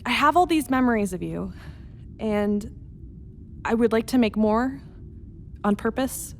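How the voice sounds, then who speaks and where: A woman acting an earnest, vulnerable astronaut captain in a radio drama, with halting, emotional delivery. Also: fairly high pitch, darkish tone, a little hiss or hum. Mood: earnest, tender.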